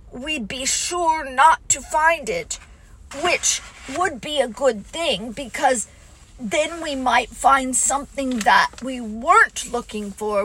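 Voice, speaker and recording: A woman voicing a gentle, humble male bear who thinks aloud slowly. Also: sits high, slight background noise, low-quality recording. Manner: slow, thoughtful, humble.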